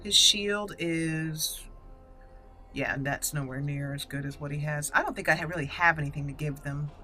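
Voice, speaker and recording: A woman's voice: low voice, normal speed, pushed and bright, soft background music. A woman hosting a casual game walkthrough, thinking aloud in short fragments as she plays. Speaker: gaming channel host.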